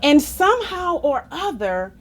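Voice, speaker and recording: A woman reads a children's book aloud with theatrical emphasis. Her voice sits fairly high and swoops widely up and down. She takes her time over the phrases, keeping the delivery slow and playful. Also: sing-song. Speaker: story reader. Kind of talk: expressive children's read-aloud with asides to listeners.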